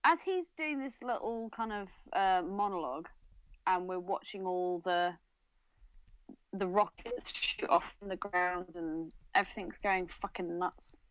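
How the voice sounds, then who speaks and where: A woman's voice: lowish voice, measured pace, fairly bright tone, dry recording, poor audio. A woman co-hosting a podcast in casual chat, with a blunt, irreverent, teasing manner. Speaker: podcast co-host.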